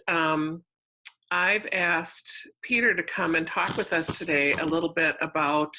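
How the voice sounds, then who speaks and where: Female host for informal interview: slightly low pitch, crisp bright tone, speaks in loose, halting phrases. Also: rough recording. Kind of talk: conversational interview introduction.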